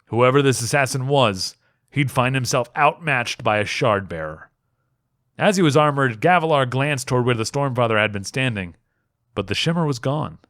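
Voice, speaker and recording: A man reading a fantasy story aloud as dramatic audiobook narration, expressive and with deliberate pauses. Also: medium pitch, no echo, clean studio sound. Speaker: amateur reader, a fan.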